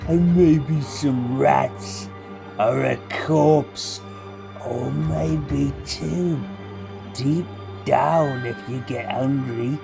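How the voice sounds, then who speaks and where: A man acting a slow, pompous, menacing armored noble. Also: medium pitch, vocal fry, music in the background, voice pushed up, exaggerated voice. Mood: coldly menacing. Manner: pompous, mock-gracious, menacing. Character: darkly armored noble, sneering, bellowing, cruel male authority. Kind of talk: scripted audio drama acting.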